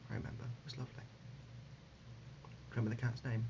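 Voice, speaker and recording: A man playing an absurd, deadpan dad in an ASMR comedy roleplay, speaking haltingly and gently, often dropping to a whisper. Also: mid-range voice, creaky, natural voice. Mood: deadpan insistent. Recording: dull lo-fi audio, loud background noise.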